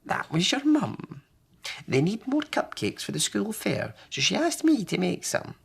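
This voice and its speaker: High, chirpy old Scottish granny. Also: male voice, huge pitch swings, unhurried, creaky, breathy edge, full character voice. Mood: chirpy, affectionate. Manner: sing-song, affectionate.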